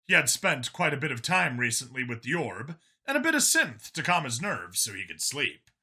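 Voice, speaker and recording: A man reading a scripted story as an expressive, dramatic audiobook narrator. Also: mid-range voice, moderate pace, slightly rough.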